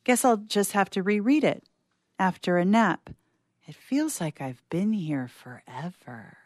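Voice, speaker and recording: A woman's voice: mid-range voice, expressive, measured pace, a little mellow, no echo, studio quality. A woman playing a stressed, sardonic young university student in a rambling, slangy, self-mocking story monologue. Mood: frustrated, weary. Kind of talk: dramatic monologue reading.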